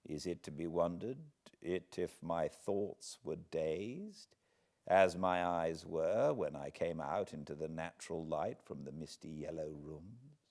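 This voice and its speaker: A man, reading a classic novel aloud in measured, expressive storytelling. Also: low-pitched. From Britain. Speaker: audiobook narrator. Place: cozy home spot beside a fireplace.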